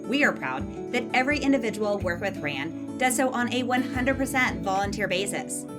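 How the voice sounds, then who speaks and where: A woman's voice: medium pitch, expressive, normal speed. A woman reading a scripted podcast announcement as the show's announcer. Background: plucked guitar music.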